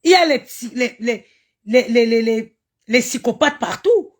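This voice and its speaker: A woman's voice: fairly high pitch, expressive, a little slow, breathy edge. Indignant woman ranting live. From Cameroon. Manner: indignant, ranting, stammering repeats, chanted invocations. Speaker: live-stream commentator. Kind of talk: impassioned live-stream rant.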